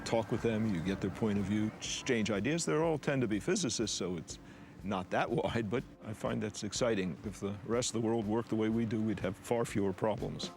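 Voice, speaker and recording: A man interviewed as a physicist, speaking casually and relaxed. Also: lowish voice, a little fast, slight background noise. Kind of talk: documentary interview.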